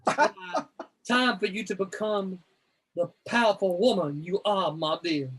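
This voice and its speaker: A man voicing a scheming older woman in a comedic dramatic reading, with a smooth, coaxing, theatrical manner. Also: sits high, a little slow, a bit bright, full character voice. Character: scheming older woman recruiting young women.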